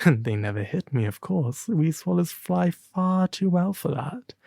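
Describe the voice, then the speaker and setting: A performer doing a proud little storybook swallow, creaky. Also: medium pitch, animated, a little slow, mellow, dry recording, clean studio sound. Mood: haughty, indignant. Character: small male swallow, proud, chatty, kind-hearted storybook bird.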